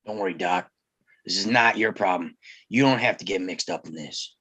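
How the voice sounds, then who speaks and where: A man playing a weary, cautious Old West lawman in a screenplay table read, speaking haltingly and plainly. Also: sits low, sharp tone, slightly rough, heavily acted. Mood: cautious, dismissive.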